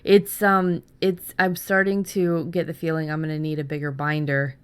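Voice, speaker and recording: A woman's voice: low voice, little pitch movement, moderate pace, clear, resonant tone. A woman hosting a casual podcast about writing fiction, chatting in an enthusiastic way. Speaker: podcast host.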